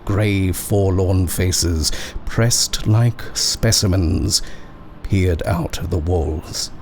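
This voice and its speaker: A low-voiced man narrates an eerie horror story. He reads slowly and deliberately, leaving dramatic pauses between phrases. There is clear background ambience behind his voice. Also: a little mellow, a little hiss or hum. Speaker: narrator reading a short story.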